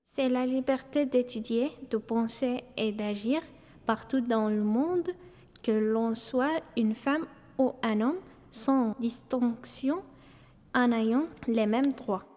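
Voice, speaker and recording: A fairly high-pitched woman's voice with a Syrian Arabic accent. She delivers scripted statements, and the sound carries a long reverb. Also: takes it slowly, darkish tone. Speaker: exhibition participant. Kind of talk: scripted narration in short statements.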